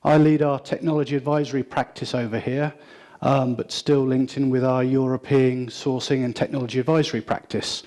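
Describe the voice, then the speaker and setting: A man's voice: medium pitch, steady tone, fairly quick, mellow. A man giving a conversational business presentation at a conference, with frequent ums and restarts. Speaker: conference speaker.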